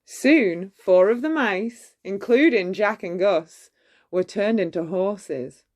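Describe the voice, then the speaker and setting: A woman narrating a children's bedtime story in a measured, expressive storytelling manner. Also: medium pitch. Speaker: storybook reader.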